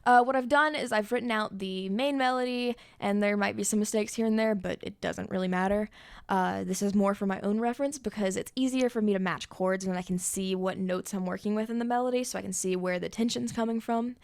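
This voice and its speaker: A young woman with a high voice and a gravelly edge of fry running through her words. She talks through her songwriting choices in a relaxed, explanatory way, often stopping on filler sounds while she thinks. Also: a little fast. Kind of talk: casual music theory walkthrough.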